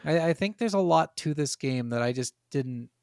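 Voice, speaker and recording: Gravelly-edged man in casual podcast chat. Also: fairly high pitch, expressive, moderate pace, darkish tone, no room sound, clean studio sound. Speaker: gaming podcast co-host. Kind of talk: casual chat about video games.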